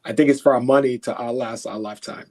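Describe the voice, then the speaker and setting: A man's voice: mid-range voice, steady tone, fast talker, darkish tone. A man from Haiti answering as an expert guest in an interview, explaining emphatically in a conversational way, voicing example thoughts and often checking in with short questions. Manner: explains through imagined first-person quotes.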